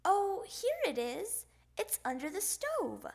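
A woman voicing an excitable, insistent young boy frog who stalls at bedtime in a storybook. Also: very high-pitched, slow, gravelly, voice pushed up, heavily acted. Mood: excited. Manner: exclaiming, insistent.

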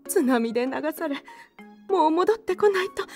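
A gentle widow full of gratitude , acted by a woman, speaks in a high, very expressive voice. It is an audio drama scene with music behind. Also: normal speed. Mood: moved, grateful. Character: grateful, gentle widowed woman disaster survivor. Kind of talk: Japanese audio drama acting.

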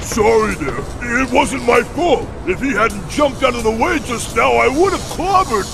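Gravelly, brutish cartoon henchman. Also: extremely high pitch, expressive, normal speed, exaggerated voice. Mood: gloating. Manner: bullying, taunting. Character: big brutish dim-witted male cartoon albatross henchman. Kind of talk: video game cutscene acting. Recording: game music behind voice.

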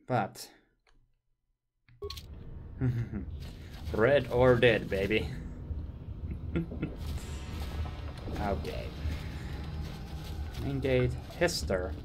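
Slow-talking Finnish man streaming a game. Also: medium pitch, noisy background. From Finland. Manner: musing, chatty with viewers. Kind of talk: casual gameplay commentary. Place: small room indoors.

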